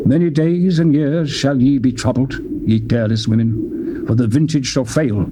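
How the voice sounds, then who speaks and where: A man reading scripture aloud in a solemn, declamatory manner, with wide swings in pitch. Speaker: Bible reader. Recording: loud background noise.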